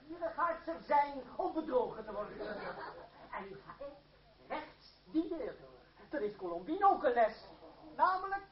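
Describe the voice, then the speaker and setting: An actor playing a smooth, scheming stage rogue with theatrical, flowery delivery in a radio drama. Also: male voice, extremely high pitch, sing-song, measured pace, exaggerated voice. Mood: gleeful. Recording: muffled, echoey old radio play recording, crowd noise.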